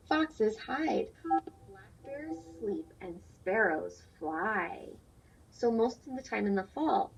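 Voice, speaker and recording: A woman's voice: medium pitch, vocal fry, breathy edge. A woman teacher doing a read-aloud lesson for young children, explaining things simply and putting questions to her listeners. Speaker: teacher reading a picture book aloud to children. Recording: dull, lo-fi sound, distant from mic.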